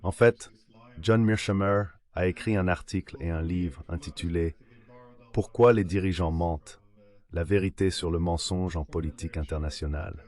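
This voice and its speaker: A man delivering a translated voice-over of a political discussion in a measured, scripted-sounding way. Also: low voice, fairly even intonation, darkish tone.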